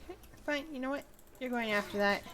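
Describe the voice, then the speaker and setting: A woman's voice: fairly high pitch, fast talker, a bit bright, slight background noise. A woman game streamer giving casual live commentary in a playful, chatty manner.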